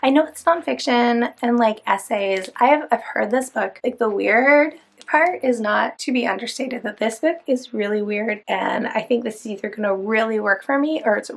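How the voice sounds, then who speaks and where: A woman's voice: a little high, moderate pace, gravelly, hardly any pauses. A woman hosting her own book vlog, talking casually and chattily with lots of fillers. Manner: chatty, upbeat, rambling with fillers. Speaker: book vlogger.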